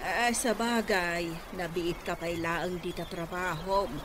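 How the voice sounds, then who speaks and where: A woman's voice: lowish voice, fairly even intonation, slow. A woman playing an older provincial mother who fondly pleads with her grown daughter, in Ilocano-accented radio drama acting. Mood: pleading, fond. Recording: noisy radio drama recording.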